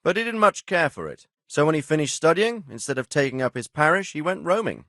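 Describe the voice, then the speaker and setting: Measured, commanding medieval lord, dry studio sound. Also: male voice, fairly high pitch, sing-song, bright, forceful tone, no echo, full character voice. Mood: informative. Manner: authoritative, measured, formal, wryly sardonic. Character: stern, dry-witted medieval lord commanding his men. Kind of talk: video game dialogue acting.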